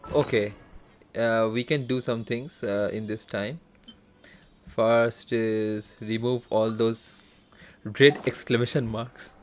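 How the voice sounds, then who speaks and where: Very low-voiced woman streaming a game. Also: fairly even intonation, slow pace, darkish tone. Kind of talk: casual live playthrough commentary. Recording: stream with faint game music behind.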